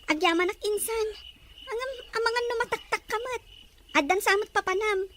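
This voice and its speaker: A woman's voice: very high voice, moderate pace, a bit bright, a little hiss or hum. A woman playing a caring, curious young girl in a Filipino radio drama, with an Ilocano accent. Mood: concerned, questioning.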